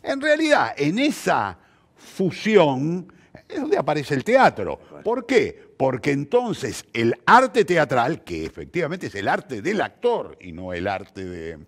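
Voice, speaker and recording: A man's voice: fairly high pitch, wildly swooping pitch, slow. An older man with a Rioplatense Argentine accent explaining ideas reflectively in conversation, in an emphatic, didactic manner with rhetorical questions. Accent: Rioplatense Argentine Spanish. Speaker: interview guest, theatre practitioner.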